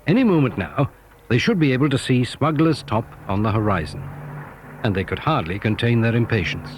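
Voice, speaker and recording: A man narrating a scripted children's adventure story in an animated storytelling manner. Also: mid-range voice, brisk, slight background noise.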